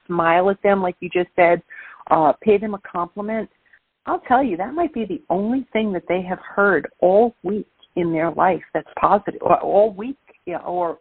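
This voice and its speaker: A middle-aged woman with a Brooklyn accent speaks over a tinny phone line. Her voice is husky. She talks with energy and stacks up emphatic repetitions. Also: mid-range voice, no echo. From Brooklyn, New York. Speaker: guest speaker. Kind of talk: phone-in interview, casual.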